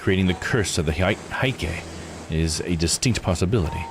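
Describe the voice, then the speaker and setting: A man voicing a formal, scholarly investigator with measured, old-fashioned phrasing in a visual novel read-aloud. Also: low-pitched, background noise, pitched below the performer's own voice, lightly acted. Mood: speculative, solemn.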